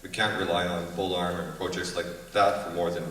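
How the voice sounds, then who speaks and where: Deep-voiced man candidate, firm. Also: level tone, fairly quick, fairly bright tone. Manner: firm, declarative, self-correcting. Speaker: election candidate. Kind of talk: prepared debate statement. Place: large events hall. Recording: echoey hall, distant mic, faint noise.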